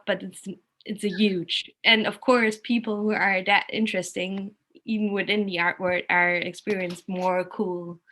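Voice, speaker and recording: Woman, German accent, bright forceful voice, emphatic and informal in casual conversation. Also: mid-range voice. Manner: emphatic, opinionated, informal with swearing.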